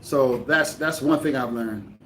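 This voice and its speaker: A man's voice: medium pitch, unhurried, fairly bright tone, a little hiss or hum. A man vlogging in a casual, rambling way, often restarting sentences as he thinks aloud. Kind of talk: casual talk to camera. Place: living in the Dominican Republic. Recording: echoey room from a distance, faint ambience.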